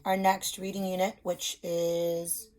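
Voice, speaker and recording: A woman's voice: on the low side, flat delivery, slow, darkish tone, low-quality recording. A woman speaking as a reading teacher, explaining simply and reading a story aloud to children, stressing key words.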